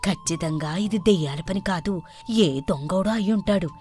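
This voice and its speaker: A woman's voice: fairly low pitch, moderate pace, darkish tone, faint music behind, lightly acted. A woman voicing a bossy, sceptical older village servant with colloquial rustic phrasing in a story. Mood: doubtful.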